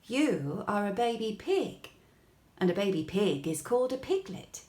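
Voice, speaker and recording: A woman plays a childlike, curious dog from a bedtime storybook. The delivery is bright-eyed and pleased with each discovery, with swooping, animated pitch. It is unhurried and sounds in an echoey room. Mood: curious, delighted. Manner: inquisitive, pleased with discoveries, expressive. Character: Kipper, a friendly curious childlike male puppy-dog.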